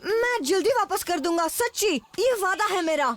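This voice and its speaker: A woman's voice: very high voice, lively intonation, moderate pace. A woman voicing an eager, mischievous young jungle boy in a cartoon, childlike and given to pleading and exclaiming. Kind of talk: Hindi cartoon dub acting. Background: loud music.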